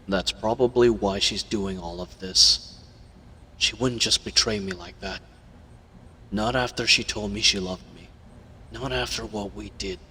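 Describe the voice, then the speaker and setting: A man voicing a captive young werewolf hero, gruff, defiant and wounded, in an audio roleplay. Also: lowish voice, pushed and bright, long reverb tail, a little hiss or hum, low-quality recording.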